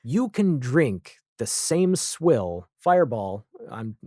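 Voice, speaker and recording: A man's voice: mid-range voice, big pitch swings, slow pace, mellow, dry recording, clean studio sound. An American man chatting casually as an interview guest, wry and opinionated, wandering off on tangents. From Nashville area, US. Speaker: podcast guest, audio drama creator.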